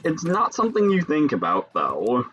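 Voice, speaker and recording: A young man from Chicago with a Chicago accent, chatting casually with viewers during a game stream and musing between pauses. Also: fairly high pitch, wildly swooping pitch, a bit bright, highs cut off, faint music behind. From Chicago, Illinois. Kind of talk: casual live-stream chat while gaming.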